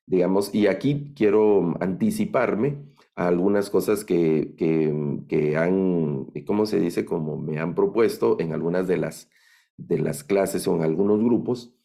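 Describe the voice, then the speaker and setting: A middle-aged man with a Guatemalan accent teaches an online class in a very low, gravelly voice. His tone is dark and mellow, and he thinks aloud, halting on fillers and restarting phrases as he explains. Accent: Guatemalan Spanish. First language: Spanish. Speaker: Bible language instructor. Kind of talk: online lecture.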